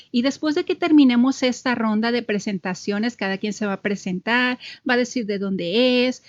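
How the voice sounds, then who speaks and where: A woman hosting and moderating a live panel of writers, speaking in a clear, upbeat, instructive way. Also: on the high side, no room sound, highs cut off. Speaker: host, moderator.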